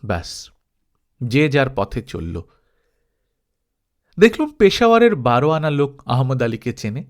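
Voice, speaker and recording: Man narrating with lively, swooping intonation. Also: mid-range voice. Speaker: audiobook narrator. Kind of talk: expressive literary storytelling, reading a humorous travelogue.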